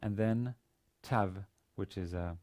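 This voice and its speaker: A man in his forties, a native Danish speaker with a Danish accent, giving an instructional lecture in a halting, hesitant manner with repeated words. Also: on the low side, darkish tone. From Denmark. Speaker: university language teacher. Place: indoor studio with plain grey backdrop.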